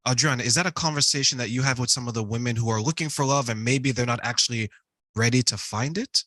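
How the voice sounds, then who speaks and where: A man's voice: medium pitch, quick pace, pushed and bright, no room sound. A man hosting an interview show, asking questions conversationally and telling anecdotes. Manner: conversational, tells jokes and anecdotes. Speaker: podcast host.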